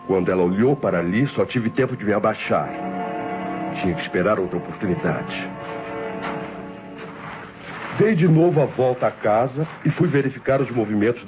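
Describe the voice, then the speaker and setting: An actor playing a tense, brooding nephew confessing a planned murder in a suspenseful old Brazilian radio mystery, speaking formally and deliberately. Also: male voice, on the low side, lightly acted. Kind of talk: 1960s radio mystery drama narration. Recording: dull old radio recording, loud music behind.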